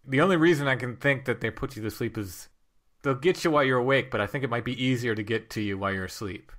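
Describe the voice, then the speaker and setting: A man's voice: mid-range voice, fast, a bit bright. A man hosting a chat show who speaks emphatically and repeats points. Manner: conversational, emphatic, repeats himself. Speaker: host. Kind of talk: casual interview chat.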